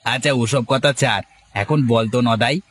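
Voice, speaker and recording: A man's voice: mid-range voice, moderate pace, a bit bright, a little hiss or hum, close to an everyday voice. A man voicing a familiar, teasing older village man in a cartoon story. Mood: familiar, probing. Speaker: cartoon character voice. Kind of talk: Bengali cartoon story dialogue.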